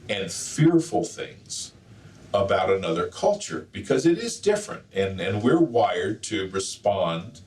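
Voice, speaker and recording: A man speaking in a measured, thoughtful way in a documentary interview. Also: lowish voice, a little mellow. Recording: distant roomy echo.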